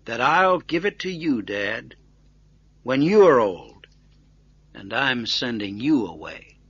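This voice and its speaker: An earnest young storybook boy, acted by a male narrator, bold and pointed. The delivery is animated and forceful, with deliberate insistence, on a dry, slightly dull recording. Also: medium pitch, breathy edge, dry recording, full character voice. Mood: slow, pointed.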